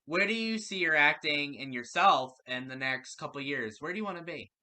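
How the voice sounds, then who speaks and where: Bright-voiced male interview host. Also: a little high, expressive, a little fast. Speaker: radio show host and interviewer. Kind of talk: prepared interview questions.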